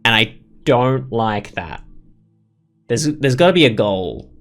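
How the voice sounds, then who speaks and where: A man's voice: mid-range voice, big pitch swings, slow pace, a bit bright, faint music behind. A man giving casual let's play commentary, opinionated and rambling as he thinks aloud. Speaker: gaming video host.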